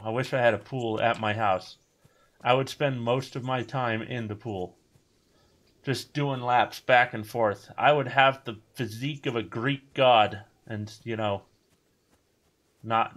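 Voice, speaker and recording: A man giving casual, rambling commentary while playing a video game, with a booming voice. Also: fairly bright tone. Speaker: streamer.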